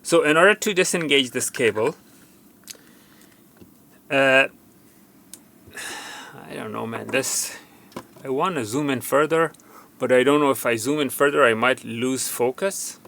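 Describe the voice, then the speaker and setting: A man's voice: medium pitch, a little slow, gravelly, pauses often, a bit bright. A man giving an unscripted hands-on tutorial as a repair technician, instructive and cautioning, often repeating himself for emphasis.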